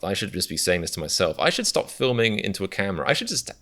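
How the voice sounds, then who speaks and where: A man's voice: low voice, sing-song, quick pace, creaky, sharp tone. A young British man hosting a reaction video, musing in a reflective, self-deprecating way with a lot of restarts. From Britain. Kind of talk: casual rambling commentary.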